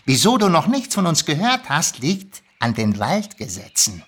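An actor voicing a sly, easily irritated male kobold who haggles nonchalantly, in a lively storybook reading. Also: medium pitch, normal speed, husky. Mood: sly, lecturing. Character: grumpy, sly male kobold dealmaker, ancient magical creature. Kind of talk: lively audiobook character reading.

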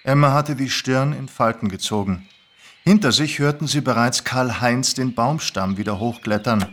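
Man narrating a story, medium pitch. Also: normal speed, fairly bright tone, slight background noise. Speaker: narrator and reader.

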